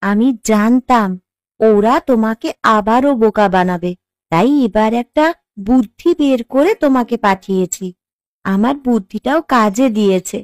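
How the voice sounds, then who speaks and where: A woman's voice: fairly high pitch, a little slow, dry recording, clean studio sound, close to an everyday voice. A woman voicing a shrewd, protective young village wife with a firm, instructing manner in a cartoon. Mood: knowing, reassuring. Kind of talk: Bengali cartoon voice acting.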